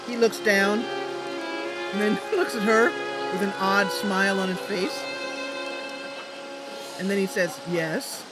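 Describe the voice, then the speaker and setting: A woman's voice: medium pitch, steady tone, measured pace, pauses often, rough recording. A woman narrating her fantasy game roleplay in the third person, with casual asides as a streamer. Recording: loud game music behind voice. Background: game music.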